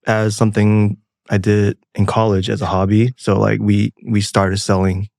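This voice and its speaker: Low gravelly man, soft-spoken guest. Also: normal speed, no echo, clean studio sound. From Taiwan. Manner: soft-spoken, relaxed, many fillers. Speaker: podcast guest, parts company founder. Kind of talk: casual interview chat.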